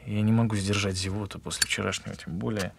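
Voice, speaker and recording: An actor playing an evasive, self-justifying husband in a naturalistic audio drama, speaking in a halting, husky way. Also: male voice, lowish voice, fairly even intonation, background noise. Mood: weary, hesitant. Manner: halting, pausing mid-confession. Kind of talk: naturalistic audio drama with murmured inner monologue.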